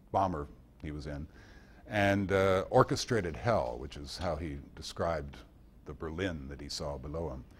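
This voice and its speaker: A man giving an informal history lecture at a club meeting in a halting manner, recorded by an amateur. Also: deep voice. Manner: halting, with pauses and quoted passages read aloud. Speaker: guest speaker at a club meeting.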